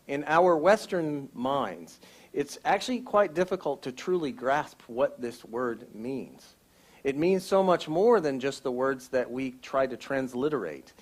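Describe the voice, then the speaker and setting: Man giving a Sunday church message. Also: mid-range voice. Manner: explanatory, teaching tone. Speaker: church speaker. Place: church sanctuary.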